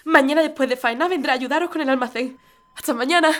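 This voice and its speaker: A woman's voice: sits high, fast, very noisy, close to an everyday voice. A young woman playing a spirited, earnest working girl in an audio drama, with a Spanish accent from Spain. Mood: apologetic, eager. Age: young adult.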